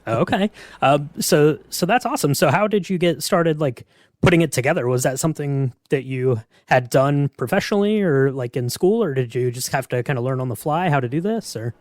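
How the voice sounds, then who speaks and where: A man's voice: fairly high pitch, expressive, brisk, no echo, clean studio sound. A man hosting a podcast interview in a casual, conversational style. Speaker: podcast host.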